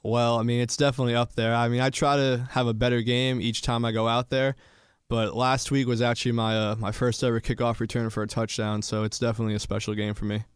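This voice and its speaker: A man's voice: mid-range voice, flat delivery, fast talker, clear, resonant tone, no echo. A young male college athlete giving casual answers in a radio studio interview. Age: young adult. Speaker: college football player being interviewed.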